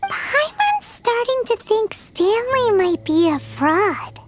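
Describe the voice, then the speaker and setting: A woman's voice: very high voice, wildly swooping pitch, slow, exaggerated voice. A woman voicing a tiny, blunt and nosy fairy companion in a video game, who talks about herself in the third person. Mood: puzzled.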